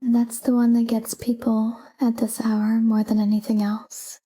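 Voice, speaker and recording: A woman leads a sleep talk-down in a very dark, mellow voice. She speaks softly and breathily, close to a whisper, and keeps an even, calm delivery. Her short phrases are unhurried, with space left between them. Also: a little high, slightly rough, poor audio. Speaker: sleep meditation guide. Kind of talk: soft guided sleep meditation, whispered talk-down.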